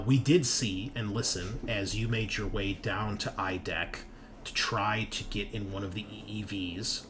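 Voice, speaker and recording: A man narrating a tabletop roleplaying game as game master, in a conversational, halting way with emphatic swells. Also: fairly low pitch. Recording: clear background noise.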